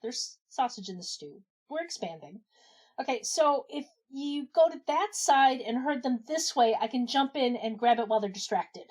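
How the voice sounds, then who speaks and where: A woman acting in a comedic audio drama as herself, animated and exasperated. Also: a little high, normal speed. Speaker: podcast regular playing herself in a comedy skit.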